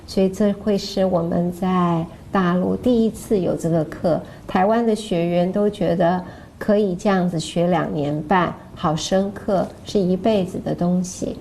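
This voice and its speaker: Mellow, low-pitched woman. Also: steady tone, moderate pace, vocal fry, a little hiss or hum. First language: Mandarin Chinese. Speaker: course co-presenter and interpreter. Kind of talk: informal explanation to camera.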